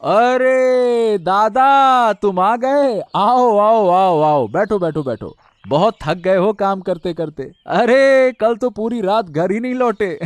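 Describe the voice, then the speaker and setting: A man's voice: high-pitched, animated, slow pace, no echo. A man voicing a meek, devoted and helpless younger brother in a cartoon story, repetitive, stammering and pleading. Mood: caring. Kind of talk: Hindi moral-story cartoon dubbing.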